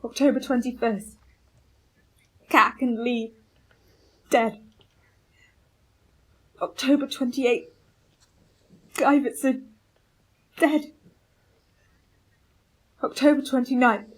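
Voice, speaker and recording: A woman playing a starving, resolute male expedition captain in a radio play, reading out a journal in a clipped, solemn, halting way. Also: fairly high pitch, steady tone, breathy edge. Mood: grim, weary.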